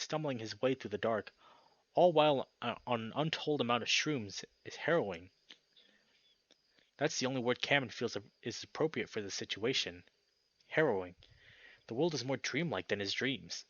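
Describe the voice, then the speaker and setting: A man's voice: mid-range voice, lively intonation, normal speed, no echo, highs cut off. A young man hosting and narrating a visual novel, reading aloud with casual commentary. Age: young adult. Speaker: video host and narrator. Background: loud game music.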